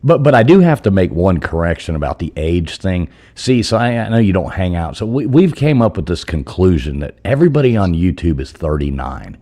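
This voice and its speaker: A man with a very low, husky voice and a mellow, dark tone. He jokes and rambles, often stopping and restarting mid-sentence. His pitch swings widely as he talks. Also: very animated. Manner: joking, rambling, halting restarts. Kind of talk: casual chat.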